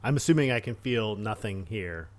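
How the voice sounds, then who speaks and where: A man's voice: fairly low pitch, animated, moderate pace. A man playing a tabletop game, talking casually through his character's actions and restarting his phrases.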